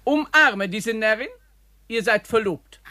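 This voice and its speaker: Pushy posh mama, high and swoopy, bossing everyone in a radio play. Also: female voice, expressive, measured pace, sharp tone, no echo. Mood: commanding. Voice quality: imperious, bossy. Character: domineering aristocratic mother, a lady. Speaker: Lady Westwich.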